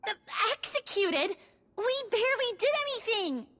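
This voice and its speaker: An actress voicing a small, excitable female companion who talks in an expressive, fretful, exclamatory way. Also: very high-pitched, moderate pace, full character voice. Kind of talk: game voice acting.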